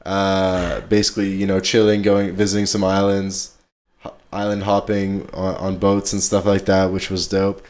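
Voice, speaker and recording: A man's voice: low voice, completely flat delivery, moderate pace, darkish tone. A man hosting a podcast in a casual, laid-back chat, talking in slangy everyday English. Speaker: podcast host.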